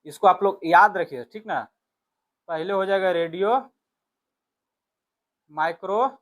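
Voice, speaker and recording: High-pitched man teaching. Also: fairly bright tone. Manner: instructive, repeats points and reads questions aloud in Hindi and English. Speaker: exam coaching teacher. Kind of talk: explanatory test-prep lesson.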